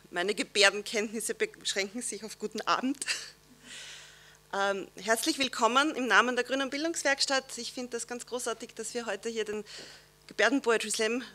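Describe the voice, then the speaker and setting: A woman's voice: fairly high pitch, sharp tone. A woman giving a welcome speech on a theatre stage, earnest and hunting for words with many fillers. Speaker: guest speaker. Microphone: stand microphone.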